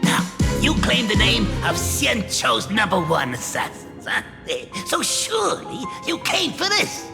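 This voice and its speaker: An actor voicing an eccentric, wily crime syndicate boss in a video game, theatrical and boastful, with a creaky voice and drawn-out interjections. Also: medium pitch, wildly swooping pitch, exaggerated voice. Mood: sly, coaxing. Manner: theatrical, chuckling, drawling interjections like gee and eh. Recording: long reverb, game music behind. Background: game background music.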